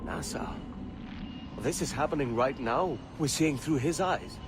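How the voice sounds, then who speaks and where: An actor playing a blunt, intense, hot-tempered pirate in a video game. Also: male voice, medium pitch, measured pace, noisy background, lightly acted. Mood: wary wonder. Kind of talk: dramatic video game acting. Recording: music behind the voice.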